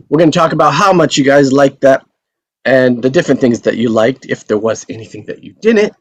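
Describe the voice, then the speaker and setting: A man's voice: a little high, no echo, lo-fi sound. A man hosting a table read over a video call, talking casually and hesitantly with many fillers. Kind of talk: casual chat.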